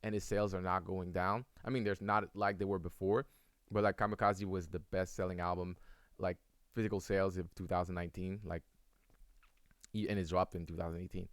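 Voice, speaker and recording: A young man, a native French speaker, talks casually to his chat in a low, smooth voice. He rambles, full of ums and restarts. The sound is close and dry. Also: little pitch movement, brisk, no echo. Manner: rambling, many fillers and restarts. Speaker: streamer answering chat. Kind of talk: casual live-stream Q&A.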